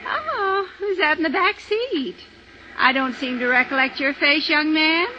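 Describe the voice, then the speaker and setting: A woman's voice: high voice, lively intonation, measured pace, sharp tone, exaggerated voice. A woman acting a chatty, nosy older drive-in owner with a folksy American accent in a 1950s radio drama. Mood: chatty, curious. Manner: gossipy, folksy, emphatic. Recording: tinny, faintly noisy old radio recording.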